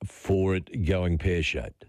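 A man with an Australian accent hosting a talkback radio programme, measured and questioning in manner. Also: low voice, a little mellow, no room sound, clean studio sound. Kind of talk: live talkback interview.

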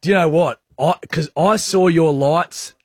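A man's voice: fairly high pitch, a little slow, darkish tone, breathy edge, dry recording. A man with an Australian accent, bantering and teasing with laughter as a radio host. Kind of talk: playful banter.

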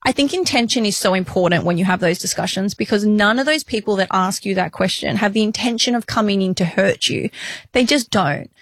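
A woman, talking frankly and earnestly as a podcast co-host, quick to laugh. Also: medium pitch, no room sound. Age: 30s. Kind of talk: candid casual chat.

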